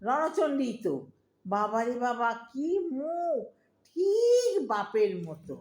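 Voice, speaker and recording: Echoey, high-pitched fretful Bengali mother played by an actress. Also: very animated, takes it slowly, a little mellow, heavily acted. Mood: complaining. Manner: plaintive, scolding, exclamatory. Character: fretful, tearful, old-fashioned middle-aged Bengali mother. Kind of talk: audio drama acting. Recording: roomy, echoing audio drama recording.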